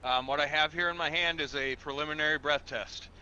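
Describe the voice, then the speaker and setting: A man's voice: a little high, fairly even intonation, fairly quick, sharp tone, dull audio, slight background noise. A man playing a patient, procedural police officer in an online game roleplay, explaining things step by step in a formal, instructional way. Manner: methodical, instructional, repeats himself, formal with sir.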